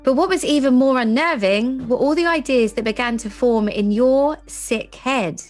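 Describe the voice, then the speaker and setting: A woman playing a composed, commanding ad agency executive in an audio drama, speaking deliberately. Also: high voice, a little mellow, soft background music, natural voice. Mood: knowing, grave.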